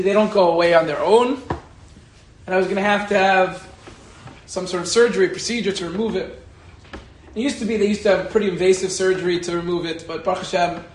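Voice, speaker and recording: A man, a rabbi, giving an animated Torah lecture and telling a personal story, repeating key phrases for emphasis. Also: high-pitched, reverberant, a little hiss or hum, rough recording. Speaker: rabbi teaching a Torah class.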